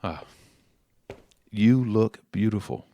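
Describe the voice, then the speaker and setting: A man's voice: fairly low pitch, lively intonation, takes it slowly, warm tone. An actor playing a middle-aged politician father in an audio play, persuasive and defensive, talking down to his grown daughter. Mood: coaxing. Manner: condescending, self-justifying.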